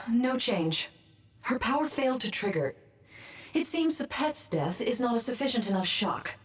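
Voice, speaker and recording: A woman's voice: a little high, sing-song, unhurried. An actress playing a ruthless, contemptuous woman scientist with a clinical, disdainful delivery in a video game dub. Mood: cold, clinical.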